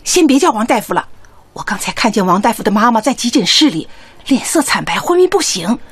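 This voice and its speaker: An actress playing an eager, chatty, excitable young nurse in a Chinese radio drama. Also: female voice, fairly high pitch, brisk, breathy edge, no room sound. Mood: worried.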